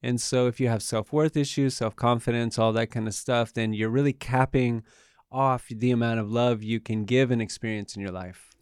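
An earnest man, a tantra teacher and podcast guest, calmly explaining his ideas. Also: mid-range voice, normal speed, clear, resonant tone, no echo, clean studio sound. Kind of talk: calm explanatory interview talk.

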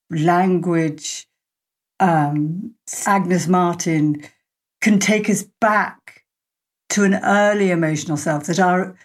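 Low-voiced woman musing thoughtfully. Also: a little mellow. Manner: reflective, speaks in long linked thoughts. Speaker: podcast host. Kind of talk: thoughtful conversational interview.

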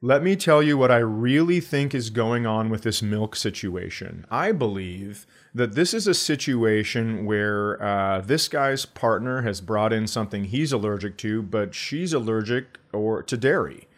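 A man's voice: fairly low pitch, expressive, measured pace, hardly any pauses. Sardonic middle-aged man riffing on a podcast. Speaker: podcast co-host and comedian. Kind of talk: casual comedic banter.

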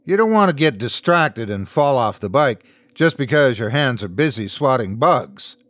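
A man's voice: medium pitch, animated, normal speed, fairly bright tone, no room sound, natural voice. A man voicing an insistent male friend who calls out firm, reproachful encouragement in an audiobook story. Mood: firm, scolding.

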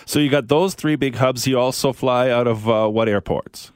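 A man's voice: medium pitch, moderate pace, clear, resonant tone, no room sound. A man hosting a travel podcast, introducing a guest and asking questions in an upbeat, conversational way. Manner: upbeat, conversational, with some fillers. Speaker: podcast host.

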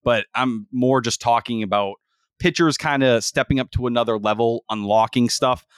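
A man's voice: medium pitch, normal speed, smooth, no echo, studio quality. A man in his twenties co-hosting a casual sports podcast, ranting heatedly with plenty of swearing and fillers. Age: 20s. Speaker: podcast co-host.

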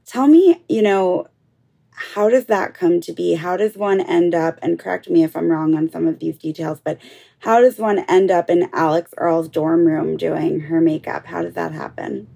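A woman interviewer with a gravelly, low, mellow voice asks long, winding questions, padding them with fillers as she goes. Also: normal speed.

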